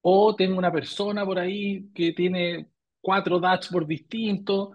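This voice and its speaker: A man's voice: sits high, little pitch movement, measured pace, muffled recording. A middle-aged Chilean man with a Chilean Spanish accent, answering interview questions thoughtfully and often repeating words as he searches for phrasing. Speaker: guest.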